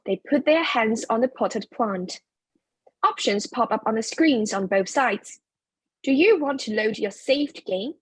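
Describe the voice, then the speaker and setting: A woman reads stage directions as scripted narration. Her voice is on the high side and a little husky, recorded close and dry. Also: lively intonation, a little slow, no echo.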